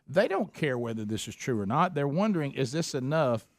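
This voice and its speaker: Man, talk radio host, mid pitch, dry booth, halting delivery. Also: sing-song, no echo. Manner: takes calls, speaks in halting restarts.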